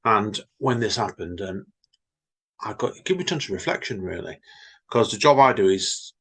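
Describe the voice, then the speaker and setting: A man co-hosting a story podcast, chatting casually and reading his own poem in a slow, reflective way. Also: fairly low pitch, lively intonation, vocal fry, a bit bright, breathy edge. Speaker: podcast co-host, poet. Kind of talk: casual chat and poetry reading.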